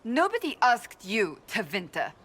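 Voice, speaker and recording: A woman's voice: on the high side, wildly swooping pitch, unhurried, a bit bright, slightly rough, exaggerated voice. An actress voicing a stern woman warrior in a video game, flustered and defensive. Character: stern woman warrior, secretly a romance reader.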